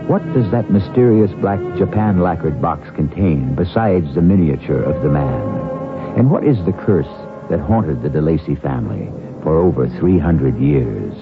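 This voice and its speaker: A man with a very deep voice hosts a radio mystery, narrating deliberately and theatrically. Music plays clearly behind him on a dull, band-limited broadcast. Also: expressive. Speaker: radio drama host. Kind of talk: scripted suspense narration.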